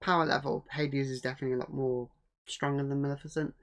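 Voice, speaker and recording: Soft-spoken guy on a movie podcast, medium voice, lots of yeahs and mm-hmms. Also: mid-range voice, level tone, fast talker. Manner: quiet, mostly brief agreeing replies. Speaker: podcast co-host. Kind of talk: casual film review chat.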